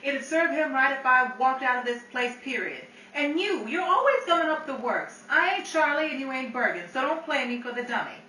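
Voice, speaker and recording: A woman's voice: high-pitched, lively intonation, fairly quick, hardly any pauses, pushed and bright. A woman playing a headstrong, sassy 1930s nightclub singer with slangy, cutting retorts, in old-time radio drama style. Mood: defiant, brassy. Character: brassy, headstrong 1930s nightclub singer, female, hard-bargaining. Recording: roomy, distant mic, hissy background.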